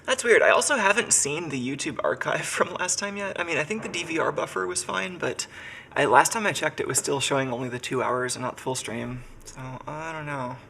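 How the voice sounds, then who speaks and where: A man's voice: fairly high pitch, expressive, a little fast, gravelly, pushed and bright, a little hiss or hum. Congested man rambling on a live stream. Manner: rambling, filler-heavy, thinking aloud. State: sick and congested. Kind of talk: casual live-stream chat. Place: home workshop.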